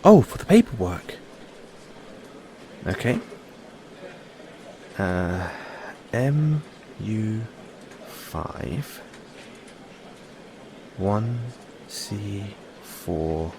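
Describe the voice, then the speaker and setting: A man with a British accent acting in an audio roleplay as a kindly, anxious, furtive android owner, speaking haltingly with stammers. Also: on the low side, lively intonation, noisy background, heavily acted. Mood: nervously polite.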